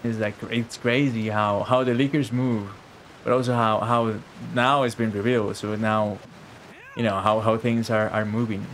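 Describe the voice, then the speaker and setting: A man's voice: lowish voice, steady tone, normal speed, a bit bright, slight background noise, rough recording. A man streaming a game with casual, rambling live commentary full of slang and swearing. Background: faint game music.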